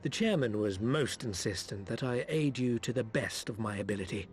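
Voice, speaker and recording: A man playing a courteous, guarded informant in a video game, speaking in formal, measured, polite phrases. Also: mid-range voice, animated, soft background music, natural voice. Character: courteous, guarded male informant offering aid. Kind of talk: video game character acting.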